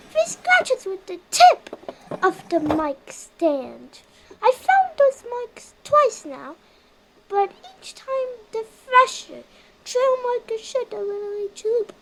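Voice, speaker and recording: A performer playing an uneasy field reporter lost in a looping forest, sending halting dispatches over the radio in an eerie audio drama. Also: very high voice, exaggerated voice. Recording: very noisy radio transmission with clicks.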